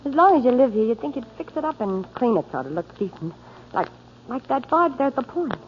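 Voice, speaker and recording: A woman's voice: a little high, very animated, fairly quick. An American actress playing a troubled, guarded young woman in a 1940s radio drama. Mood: bitter, earnest. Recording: muffled, noisy 1940s radio broadcast.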